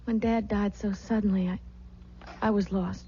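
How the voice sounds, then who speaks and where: An actress playing a trusting, easily frightened young bride-to-be in a radio drama. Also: female voice, mid-range voice, fairly even intonation, moderate pace, dark tone, slight background noise, natural voice. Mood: wistful. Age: young adult. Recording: muffled old radio recording.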